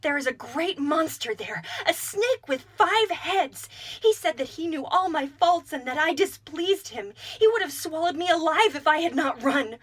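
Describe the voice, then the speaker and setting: A woman's voice: very high voice, expressive, moderate pace, few pauses, a bit bright, voice pushed up. A woman voicing a terrified young woman who begs her sister through sobs. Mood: hysterical pleading. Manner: hysterical, pleading.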